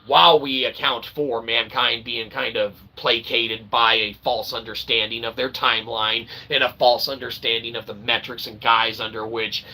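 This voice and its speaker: A man's voice: mid-range voice, little pitch movement, normal speed, few pauses, sharp tone, poor audio. A man hosting a livestream, rambling through a monologue and checking in with "okay?" and "all right". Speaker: livestream host.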